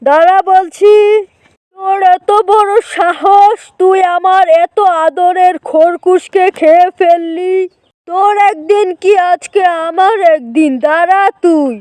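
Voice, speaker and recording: A woman's voice: very high voice, fairly even intonation, takes it slowly, a little mellow, no room sound. A woman voicing a protective, hot-tempered uncle who scolds emphatically in a children's cartoon. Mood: furious, threatening. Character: protective uncle who keeps rabbits, quick to anger.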